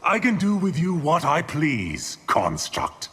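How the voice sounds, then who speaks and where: An actor playing an arrogant, domineering sorcerer in a fighting game, with a haughty, commanding manner. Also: male voice, a little high, wildly swooping pitch, takes it slowly, heavy background noise, full character voice. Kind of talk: fighting game character dialogue.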